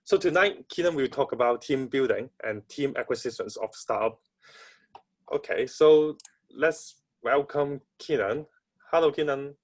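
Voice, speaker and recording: Man hosting an online talk. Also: mid-range voice. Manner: polite, slightly halting introductions. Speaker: event host. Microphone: video call.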